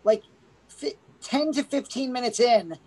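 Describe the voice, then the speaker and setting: A woman's voice: on the high side, measured pace, a little mellow. A woman chats about a film on a podcast in a loose, rambling way. Her sentences keep restarting and are packed with "like"s. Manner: rambling, full of "like"s, laughs. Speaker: podcast co-host. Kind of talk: casual movie review chat.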